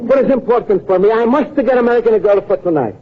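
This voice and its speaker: An actor playing a naive, earnest, lovestruck Italian immigrant in an old-time radio comedy, speaking accented broken English. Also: male voice, sits high, fast, heavy background noise, close to an everyday voice. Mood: disappointed. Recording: muffled old radio broadcast recording.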